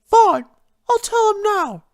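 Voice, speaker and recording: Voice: very high-pitched, sing-song, takes it slowly, a little mellow, pitched above the performer's own voice, exaggerated voice. A narrator voicing an elegant, flustered adult female pony in a storybook reading, coy and hesitant. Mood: annoyed, resigned.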